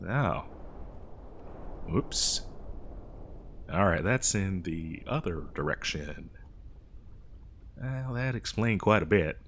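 A man's voice: sits low, expressive, slow, long pauses, a bit bright, slight background noise, exaggerated voice. Guy doing a folksy old west drifter, exasperated n rambly, voice swings up and down, comedy audio roleplay. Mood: sheepish, rambling. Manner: folksy, rambling, hesitant improvised delivery. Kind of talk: improvised comedic audio roleplay addressed to the listener.

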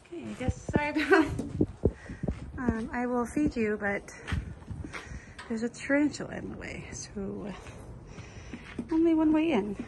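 A woman's voice: on the high side, a little slow, gravelly, pauses often, noisy background. A woman filming her farm animals outdoors on her phone, chatting casually and playfully talking to the animals. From Arizona, US. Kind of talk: casual phone vlog narration.

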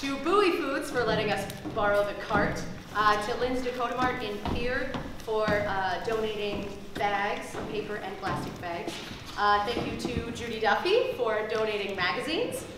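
Halting woman announcer in very echoey theater. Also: mid-range voice, expressive. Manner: halting, self-correcting. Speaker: play director introducing the show. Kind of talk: informal live announcement. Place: theater stage. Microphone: distant camera in the audience. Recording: echoey noisy theater from far off.

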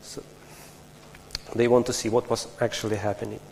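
A man's voice: lowish voice, level tone, normal speed, gravelly, darkish tone, slight background noise. A man from Russia, giving a technical conference talk in a large lecture hall, explaining step by step with frequent restarts and asking the audience questions. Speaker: conference speaker.

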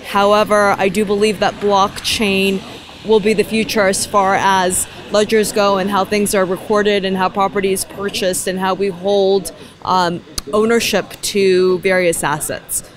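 Young woman, mid pitch, composed, articulate, outdoor street interview, handheld mic. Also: flat delivery, measured pace. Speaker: passerby. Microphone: handheld mic held by interviewer.

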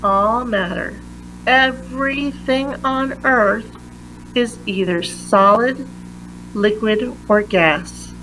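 A woman reading a children's picture book aloud in a deliberate manner, pausing between phrases. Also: a little high, fairly bright tone, slight background noise, low-quality recording. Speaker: read-aloud reader.

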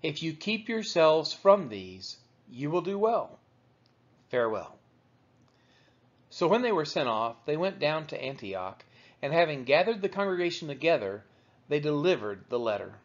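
A man reading the Bible aloud as measured narration, with deliberate, emphatic phrasing. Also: fairly high pitch, big pitch swings. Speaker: Bible reader. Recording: dull, lo-fi recording.

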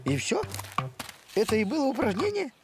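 An actor voicing a touchy, good-natured, simple-hearted male dog in an animated series, talking in a huffy, colloquial way. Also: mid-range voice, normal speed, over music. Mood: easygoing.

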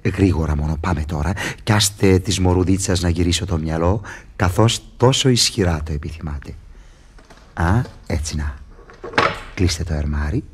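An actor playing a sly, mocking devil in a radio play, sardonic and goading, with a raspy voice. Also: male voice, sits low. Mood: mocking, impatient. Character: sly, mocking male devil tempter Mephistopheles. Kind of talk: theatrical radio drama acting.

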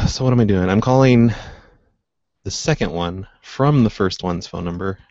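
A man's voice: lowish voice, moderate pace, a little mellow, dry recording. A man hosting a prank call show, improvising casually in a mischievous, innocent-sounding way, on dull lo-fi audio.

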